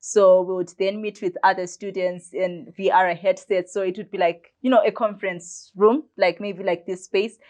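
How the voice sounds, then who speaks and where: A woman's voice: mid-range voice, fairly even intonation, moderate pace, hardly any pauses, darkish tone. A young woman of about twenty, chatting as an interview guest and telling her story with plenty of "like" fillers. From Zimbabwe. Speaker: guest, biomedicine student. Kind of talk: casual storytelling in conversation.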